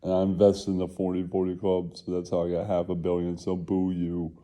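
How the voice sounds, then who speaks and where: A man's voice: low voice, monotone, brisk, warm tone, natural voice. A man voicing a sassy senior flight attendant who brags scornfully about rich relatives in a comic puppet sketch, drawing out the words. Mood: scornful bragging.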